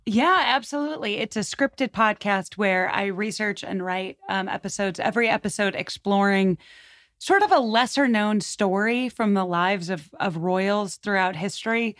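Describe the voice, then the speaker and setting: A woman's voice: medium pitch, moderate pace, fairly bright tone, no room sound, clean studio sound. A woman podcaster talking in a casual, conversational way. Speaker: podcast host and guest.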